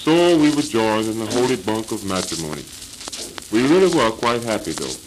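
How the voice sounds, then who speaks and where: A man's voice: lowish voice, very animated, normal speed. Man playing a hapless henpecked husband, crackly old record. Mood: mock-earnest. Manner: mock-earnest, theatrical delivery. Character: hapless, henpecked husband giving a comic lecture. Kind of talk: early 20th century comic monologue. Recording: crackly, hissing 1939 78 rpm record.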